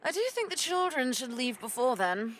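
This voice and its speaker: A woman's voice: high voice, very animated, normal speed, a bit bright, soft background music. A woman playing a stern, sardonic female pirate captain in an audio drama, commanding and dryly sarcastic. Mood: firm, weary.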